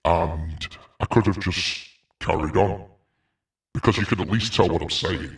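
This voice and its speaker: A man speaking casually through a deep voice-changing effect. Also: a little slow, vocal fry, reverberant, distant mic. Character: man's own voice, pitched down by effect. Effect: deep pitch-down voice changer.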